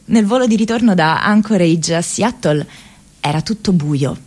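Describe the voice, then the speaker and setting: An actress playing a restless, playful, intense college-age young woman in a radio theatre monologue, confessional and halting with dramatic pauses and bursts. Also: fairly low pitch, expressive, a little mellow, husky. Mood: wonderstruck.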